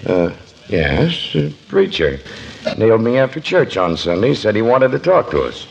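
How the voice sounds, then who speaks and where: An actor playing an anxious, deferential police chief in 1940s radio comedy, hesitant and pleading in manner. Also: male voice, on the low side, big pitch swings, normal speed, creaky. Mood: sheepish. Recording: muffled, noisy 1940s radio broadcast.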